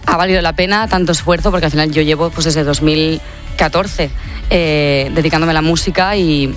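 A woman's voice: deep voice, steady tone, normal speed, soft background music. A young woman from Catalonia, a singer chatting as a radio guest, upbeat and talkative with many fillers. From Catalonia, Spain. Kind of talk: casual radio interview.

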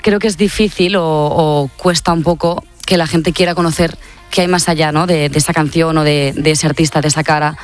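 A young woman from Catalonia, relaxed and talkative as a radio guest. She chats warmly and leans on little tag questions. Faint music sits under her voice. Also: deep voice, fairly quick. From Catalonia, Spain. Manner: chatty, upbeat, many fillers like "no?". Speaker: singer, interview guest. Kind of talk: casual radio interview.

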